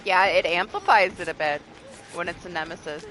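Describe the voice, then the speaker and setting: A woman's voice: lowish voice, fairly quick, fairly bright tone, a little hiss or hum, rough recording. A woman with a mix of Southern and New York American accents, chatting casually and swearing now and then while she streams a game. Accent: mixed Southern and New York American. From United States. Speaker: livestreamer. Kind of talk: casual gaming stream chat.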